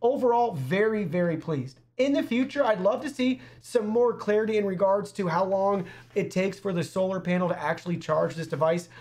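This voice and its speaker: A man's voice: high voice, moderate pace, a little mellow. Upbeat young man presenting a product review. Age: young adult. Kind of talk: scripted-sounding product review presentation to camera. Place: small indoor studio.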